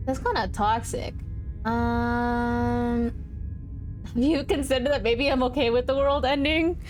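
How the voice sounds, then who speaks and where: Playful, high-pitched woman streaming a game. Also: fairly even intonation, a little slow. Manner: playful, slangy, thinking aloud. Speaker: livestreamer playing a game. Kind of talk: casual gaming stream chat, reading choices aloud. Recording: stream with game music behind.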